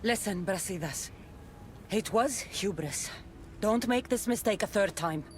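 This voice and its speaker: A woman's voice: medium pitch, expressive, a little slow, a little hiss or hum, natural voice. An actress playing a loyal, wry, battle-hardened warrior woman in a video game, speaking firmly and encouragingly. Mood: urgent, loyal. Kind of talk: dramatic video game acting.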